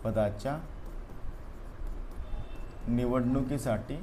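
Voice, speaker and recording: A man's voice: medium pitch, steady tone, extremely slow, warm tone. A man leads a classroom exam review. He drills the answers by saying them again and again and keeps questioning his students. Faint room noise sits behind his voice. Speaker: teacher.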